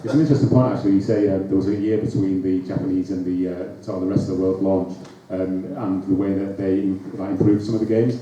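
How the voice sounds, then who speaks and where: A man's voice: deep voice, flat delivery, fast, hardly any pauses, warm tone. A man from the UK, a fan site writer on a convention panel, hesitant and full of fillers. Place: large convention room with audience. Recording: echoey dull hall recording from far off.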